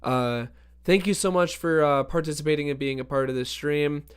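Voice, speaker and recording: A man hosting a birthday livestream in a casual, upbeat way, greeting chat viewers by name, his voice hoarse from allergies. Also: on the high side, steady tone, moderate pace. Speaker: livestream host.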